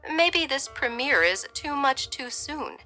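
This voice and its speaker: A worried middle-aged wife, voiced by a woman reader, gentle and pleading, lifting higher when she frets. Her tone is very bright and sharp. Faint music sits behind her. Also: lively intonation, takes it slowly, exaggerated voice.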